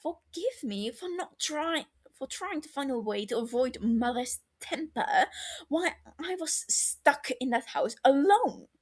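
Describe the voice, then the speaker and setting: A woman voicing a bitter, wounded younger brother in an audio story, heated and scornful, breaking into breathy hurt. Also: high-pitched, animated, unhurried, pitched above the performer's own voice. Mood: hostile, cutting.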